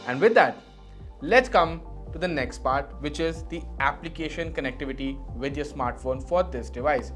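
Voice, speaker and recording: A man giving an explanatory product review in a measured way, leaning on key words for emphasis. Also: on the high side, over music.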